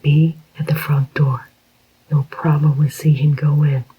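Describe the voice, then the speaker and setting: A reader whispering the part of a calm, scheming male club owner in a slow, even voice. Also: a little high, breathy edge. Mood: curt. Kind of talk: whispered audiobook reading. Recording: dull lo-fi recording.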